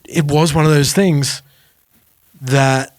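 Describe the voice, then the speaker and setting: A man's voice: on the high side, fairly even intonation, takes it slowly. A man co-hosting a podcast, telling anecdotes in a casual, informal way. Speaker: podcast co-host. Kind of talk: casual music podcast chat.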